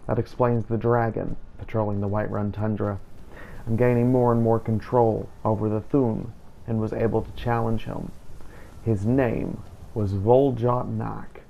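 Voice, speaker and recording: Male game-series narrator, relaxed and gravelly, scripted roleplay delivery over noisy game ambience. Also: fairly low pitch, unhurried, lo-fi sound. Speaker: host of a game playthrough series.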